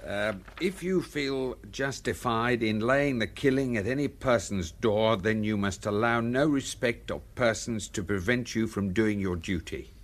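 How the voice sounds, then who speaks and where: A man playing a deferential English manservant in a radio drama, speaking in a measured, formal way. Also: lowish voice, close to an everyday voice.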